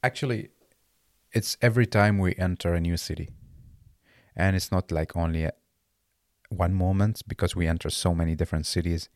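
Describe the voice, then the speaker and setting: A very deep-voiced man in his late twenties, originally from Iraq and not an English speaker. He talks haltingly, restarting phrases as he searches for words. The sound is dry and close, with little room around it. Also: fairly even intonation, no echo. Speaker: guest. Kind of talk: casual interview conversation.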